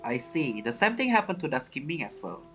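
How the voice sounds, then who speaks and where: A man streaming a game with casual commentary, thinking aloud in halting, non-native phrasing. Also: medium pitch, sing-song, fairly bright tone, faint music behind. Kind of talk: casual let's play commentary.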